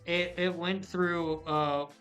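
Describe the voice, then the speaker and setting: Bright, excitable young man. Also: fairly high pitch, slow pace, smooth, soft background music. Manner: excitable, swears casually, mimics in a sing-song. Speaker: podcast co-host. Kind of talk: casual chat.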